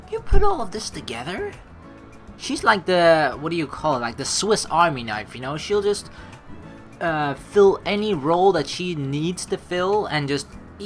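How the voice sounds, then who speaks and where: A woman doing chatty, slangy let's play commentary and reading game story text aloud with expressive reactions. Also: low voice.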